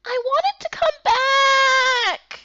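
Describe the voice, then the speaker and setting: A woman's voice: very high voice, drawn-out words, sharp tone, raised voice, lo-fi sound, pitched above the performer's own voice, exaggerated voice. A woman voicing a whiny, tearful, blunt little boy in a visual novel game. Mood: crying. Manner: wailing, childish.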